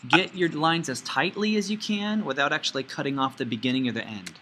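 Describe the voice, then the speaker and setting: A man giving informal step-by-step software instruction as a teacher, with folksy, playful phrasing. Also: a little high, fairly quick, slight background noise. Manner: folksy, playful asides and sound words.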